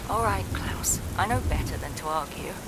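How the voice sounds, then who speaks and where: An actress playing a worried wife who gently chides her husband over the phone. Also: on the low side, normal speed, very noisy, natural voice. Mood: concerned. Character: worried wife of an aging pilot.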